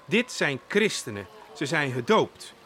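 A man's voice: on the high side, big pitch swings, measured pace, a bit bright, slight background noise. A man playing a firm sixteenth-century Dutch port official in an audio drama, speaking in declarations. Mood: firm, dismissive.

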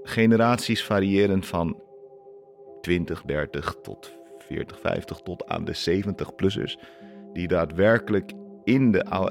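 A man's voice: deep voice, gravelly, a little mellow. A man talking reflectively in an interview, searching for words and dropping in English phrases for emphasis. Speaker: interviewee, activist.

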